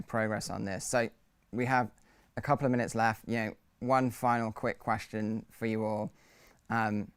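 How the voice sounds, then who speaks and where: A man moderating a panel discussion, measured and hedging with fillers. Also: lowish voice, smooth, no echo.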